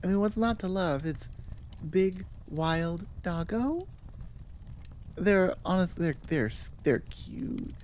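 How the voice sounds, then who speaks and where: North american lady rambling casually, goofy n playful, takes her time, lowish voice, bit of background noise. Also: expressive, slow pace, darkish tone. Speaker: audio roleplay creator, host. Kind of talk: casual rambling outro chat.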